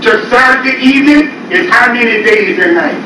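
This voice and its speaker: A man with a Guyanese accent preaching a call-and-response sermon, breaking into sudden shouts. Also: extremely high pitch, normal speed. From United States. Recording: echoey room from far off, loud noise.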